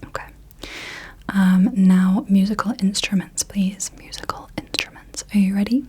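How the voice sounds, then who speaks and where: A woman speaking in a soft, breathy whisper as a gentle, encouraging ASMR host leading the listener through little games. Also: medium pitch, fairly even intonation, measured pace.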